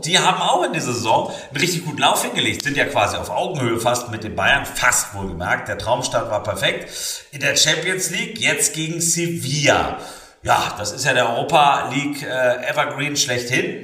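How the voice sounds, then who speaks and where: Bright, roomy-sounding German man chatting. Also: mid-range voice, distant mic. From northern Germany. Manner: opinionated, self-correcting, joking. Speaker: podcast host. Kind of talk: casual football betting chat.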